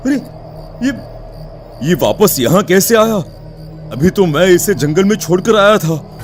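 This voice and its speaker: A man voicing a worried, protective middle-aged father in an animated story. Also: high-pitched, measured pace, soft background music. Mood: startled.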